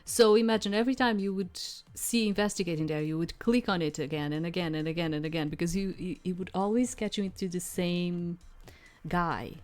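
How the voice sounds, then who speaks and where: Low-voiced woman rambling through a game. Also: darkish tone. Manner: rambling, halting, repeats herself. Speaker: streamer playing a visual novel demo. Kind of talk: casual playthrough commentary, reading game text aloud.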